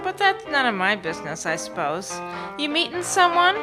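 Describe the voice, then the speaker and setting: A woman's voice: high-pitched, very animated, normal speed, poor audio. A woman playing a nosy, familiar hostess at a seedy club in a noir radio drama. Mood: nosy, breezy. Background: music.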